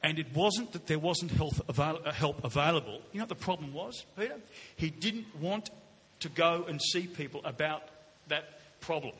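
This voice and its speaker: A middle-aged Australian man with a loud voice, answering as a politician at a public forum, repeating words haltingly. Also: a little high, expressive, breathy edge. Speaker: politician on a panel. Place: large indoor hall, public forum. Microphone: shared event microphone. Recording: echoey hall with background noise.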